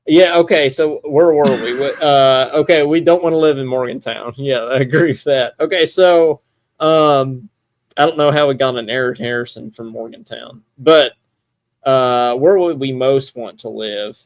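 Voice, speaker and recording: A man's voice: mid-range voice, moderate pace, dry recording. Casual man rambling on a sports podcast, dry sound. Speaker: podcast host.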